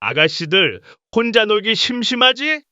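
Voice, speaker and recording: A narrator voicing a menacing male gangster in a rough, booming voice, mocking and pushy, in a dramatized story. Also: big pitch swings, a little slow, sharp tone, no echo, lo-fi sound. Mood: leering, pressuring. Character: menacing loud male gangster, leering and bullying.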